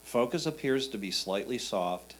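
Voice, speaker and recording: A man's voice: mid-range voice, animated, normal speed. A man reading a written photo critique aloud on someone else's behalf. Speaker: reader of a judge's written comments. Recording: faint electrical hum.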